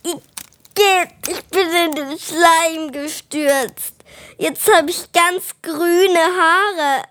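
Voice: very high voice, drawn-out words, fairly bright tone, exaggerated voice. A child of about ten acting an impatient, daring young sibling eager for adventure in an amateur children's radio play. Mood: silly.

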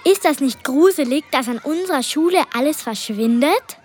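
Very high, exclamatory girl, a child acting. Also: lively intonation, normal speed, a little mellow. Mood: excited. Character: schoolgirl and worried female school headmistress. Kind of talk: children's audio play acting.

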